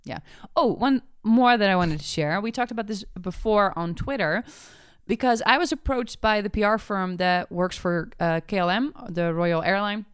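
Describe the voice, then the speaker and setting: A woman hosting a podcast, chatting casually and telling animated stories with quoted asides. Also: mid-range voice, normal speed, clean tone, dry recording, clean studio sound. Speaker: podcast host. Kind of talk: casual chat.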